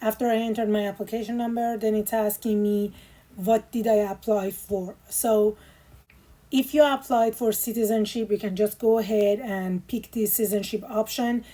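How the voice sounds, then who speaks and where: A woman's voice: mid-range voice, fairly even intonation, moderate pace, mellow, low-quality recording. A woman explaining step by step how to fill in an online immigration form, with frequent ums and patient instructions. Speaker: immigration tutorial host. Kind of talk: screen walkthrough tutorial.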